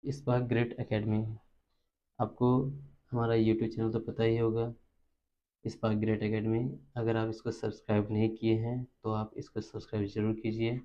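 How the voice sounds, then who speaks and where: Low, flat-voiced Indian male maths teacher. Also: normal speed. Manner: instructional, repeats terms as he works. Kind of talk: step-by-step spoken lesson. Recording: dull lo-fi recording.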